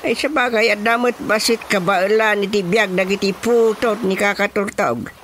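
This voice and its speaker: A man's voice: high-pitched, fairly even intonation, moderate pace, a bit bright, slight background noise. A man playing a flustered old husband. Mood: fretful. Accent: Ilocano. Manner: stammering, confused exclamations. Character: old husband, fretful and easily startled. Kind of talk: radio drama comedy acting. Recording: radio drama with music behind.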